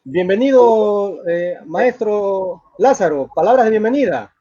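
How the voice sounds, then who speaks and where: Casting: male host, formal, high mellow voice, dull lo-fi audio. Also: animated, a little slow. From Peru. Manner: formal, hesitant with fillers. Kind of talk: live interview hosting.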